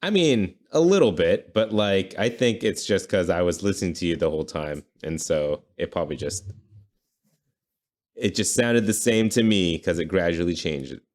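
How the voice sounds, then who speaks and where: A man's voice: sits low, normal speed, a little mellow. A man co-hosting a podcast, chatting casually about video games. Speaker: podcast co-host.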